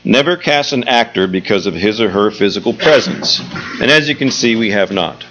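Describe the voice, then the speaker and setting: A man's voice: lowish voice, animated, normal speed, very noisy. A man speaking as a theatre director and host, giving an informal, joking welcome speech to a live audience. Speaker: theatre executive director hosting a show. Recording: dull lo-fi live recording, music behind.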